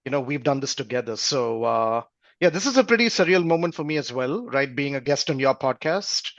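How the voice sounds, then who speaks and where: A man's voice: medium pitch, expressive, brisk, a bit bright, no echo, highs cut off. A man in his forties from Malaysia, a podcast guest talking reflectively with many fillers. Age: 40s. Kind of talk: casual interview talk.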